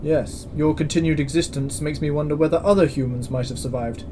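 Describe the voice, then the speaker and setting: A man's voice: on the high side, steady tone, brisk, natural voice. Man playing stern space station commandant, noisy ambience. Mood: measured, grave. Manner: formal, authoritative, dry. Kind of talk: science-fiction audio drama acting.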